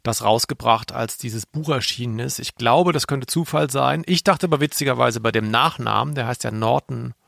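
A man's voice: mid-range voice, moderate pace, gravelly, hardly any pauses, a bit bright, no echo, studio quality. A man co-hosting a podcast, chatting casually in a laid-back, hesitant and colloquial way. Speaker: podcast co-host.

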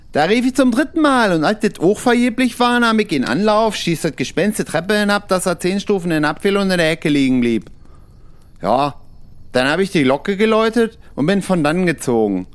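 An actor playing a simple, fearless young fairy-tale miller's son who tells things in a naive, folksy way in an audio drama. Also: male voice, high voice.

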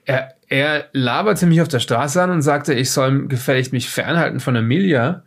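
A man playing a nervous, gravelly, evasive witness. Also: mid-range voice, close to an everyday voice. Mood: indignant, dismissive. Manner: hesitant, halting, indignant, with self-corrections. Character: nervous, evasive, haughty male witness under police questioning. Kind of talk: improvised in-character audio drama dialogue.

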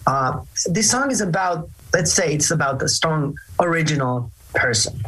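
A man from Finland with a Finnish accent, speaking English as a second language, giving relaxed, reflective interview answers with fillers and restarts. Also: on the high side, moderate pace, husky, rough recording. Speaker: band singer, interview guest.

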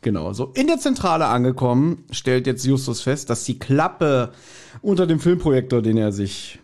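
A man's voice: medium pitch, big pitch swings, moderate pace, darkish tone. A German man co-hosting a podcast, chatting casually and colloquially as he recaps and teases. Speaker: podcast co-host. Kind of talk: casual chat, recapping an audio drama.

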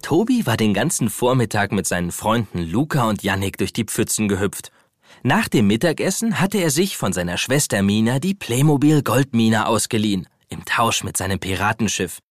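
A man's voice: lowish voice, very animated, moderate pace, dry recording, clean studio sound, soft background music. A man narrating a scripted children's story as the storyteller of a radio play. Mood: storytelling. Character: storyteller of a children's toy adventure.

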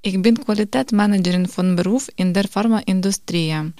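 A woman in her thirties from Ukraine, reading out a personal statement in steady learner's German. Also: mid-range voice, no echo, studio quality. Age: 30s. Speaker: course participant.

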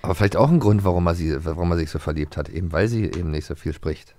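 A man's voice: sits low, quick pace, background noise. A man co-hosting a podcast in a casual, playful, joking way. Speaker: podcast co-host.

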